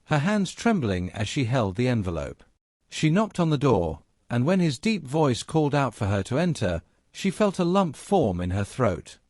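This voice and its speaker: A man's voice: medium pitch, a little mellow, no echo, studio quality. A man reading a scripted dramatic story as a narrator.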